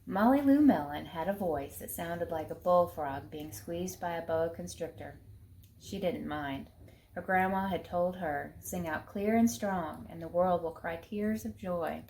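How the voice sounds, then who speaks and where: A woman reads a children's picture book aloud in a low voice. There is a little faint noise in the recording behind her storytelling. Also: measured pace, a little mellow, poor audio.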